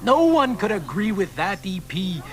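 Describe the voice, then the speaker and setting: An actor playing an eager young male poet who flatters an older writer in a radio play. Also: high voice, normal speed. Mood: earnest. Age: young adult. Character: earnest young male admirer, persistent.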